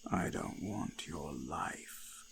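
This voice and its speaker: A man playing an ancient eldritch forest god, menacing yet seductive, speaking in slow archaic phrases with hushed, breathy whispers. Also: very deep voice, noisy background, full character voice. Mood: seductive, coaxing. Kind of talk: scripted roleplay audio, slow dramatic monologue.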